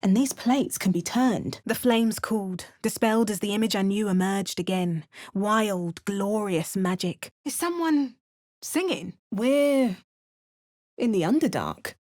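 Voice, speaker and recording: A woman's voice: mid-range voice, animated, measured pace, a little mellow, natural voice. A woman voicing a wry, dramatic female adventurer hero in an exaggerated mock Cockney accent, with hammy, theatrical spell chants. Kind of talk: parody game voice lines.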